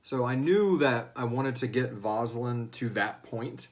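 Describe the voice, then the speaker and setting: A man's voice: medium pitch, measured pace. A man hosting a casual question-and-answer discussion as the writer of a fiction series, talking informally and slipping into bits of acted dialogue. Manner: informal, acts out character lines in passing. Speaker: host and writer of a serialized audio fiction series.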